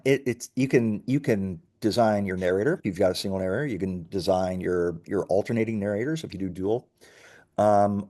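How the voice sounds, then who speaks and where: Low-pitched man, enthusiastic interviewee. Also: dark tone. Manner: enthusiastic, emphatic. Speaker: startup founder being interviewed. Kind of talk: conversational interview, explaining a product.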